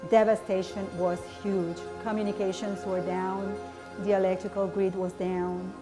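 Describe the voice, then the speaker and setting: A woman's voice: mid-range voice, level tone. Puerto Rican woman telling her story. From Puerto Rico. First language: Spanish. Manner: earnest, determined, halting with fillers. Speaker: interviewee, hospital staff member. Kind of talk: interview answers. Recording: music bed underneath.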